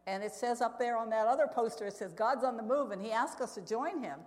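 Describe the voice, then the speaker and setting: A woman's voice: a little high, fast. A woman giving an earnest, conversational church testimony talk as a missionary speaker, telling stories and putting questions to her listeners. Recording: echoey hall with long reverb.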